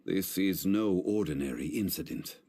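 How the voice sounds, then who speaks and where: A man playing a calm, learned, dignified gentleman in a fantasy video game, speaking formally and with measured eloquence. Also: sits low. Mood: composed, serious.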